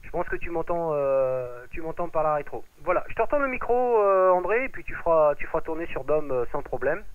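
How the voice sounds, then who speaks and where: A man chatting casually as an amateur radio operator, with hesitant, drawn-out phrasing, over a narrow radio transmission. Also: fairly high pitch, big pitch swings, clear, resonant tone, no room sound. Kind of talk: casual radio contact chat, handing over the mic.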